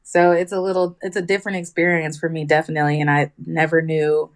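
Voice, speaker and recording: Low-voiced woman, casual interview guest. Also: little pitch movement, quick pace. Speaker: music artist being interviewed. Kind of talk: casual phone interview answers.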